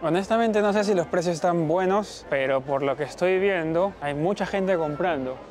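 A young man with a Peruvian Spanish accent, chatting lively and directly to his viewers in a casual vlog. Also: sits high, moderate pace, over loud music, over a noisy crowd. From Peru. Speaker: travel vlogger. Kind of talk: casual vlog talk to camera.

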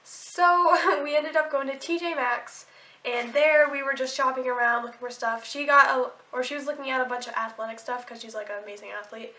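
A woman's voice: high-pitched, steady tone, fairly quick, sharp tone, echoey room. A teenage girl with a sore throat and stuffy nose chatting casually to camera about clothes, bubbly and full of likes and restarts. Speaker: vlogger. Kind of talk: casual haul video chat.

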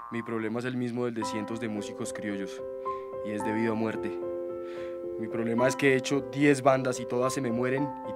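A man's voice: mid-range voice, lightly acted. A man playing a slangy Colombian musician phoning in to a comic radio drama. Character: desperate, street-talking male musician phoning in.

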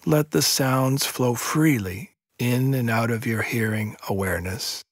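A man leads a guided sleep meditation in a medium-pitched voice. His delivery is very slow and soothing, with long pauses between short instructions. The tone is soft and breathy. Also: dry recording, studio quality.

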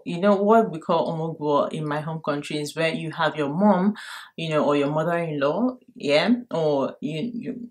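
Low-pitched young Nigerian woman. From Lagos, Nigeria. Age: young adult. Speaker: vlogger. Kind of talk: casual advice talk to camera. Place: bedroom at home.

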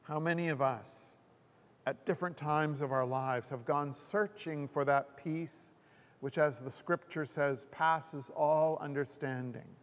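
Slow-speaking older man preaching, echoing hall. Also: fairly high pitch, steady tone. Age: 60s or older. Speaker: pastor. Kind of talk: sermon. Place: indoor church, at a pulpit. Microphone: gooseneck lectern microphone. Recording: long echoing hall reverb.

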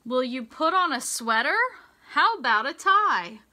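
An American woman reading a picture book aloud to young children, in a deliberate, sing-song teacher's delivery with emphasized words. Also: high-pitched, expressive, pushed and bright. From United States. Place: small indoor room with racks of hanging clothes.

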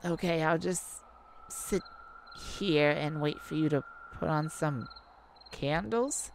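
A wounded alien star played by a woman, proud and baffled by humans. Her voice sits a little low and she talks very slowly, breaking into exclamations, in the manner of an intimate roleplay drama. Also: creaky, a little hiss or hum, exaggerated voice. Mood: uneasy, awkward. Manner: halting, exclaiming, slightly formal wording. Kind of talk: roleplay audio drama addressed to the listener.

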